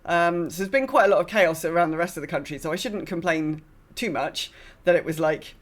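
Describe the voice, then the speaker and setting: A woman's voice: lowish voice, brisk. A British woman hosting a podcast in casual chat, rambling and hesitant as she talks. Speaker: podcast host.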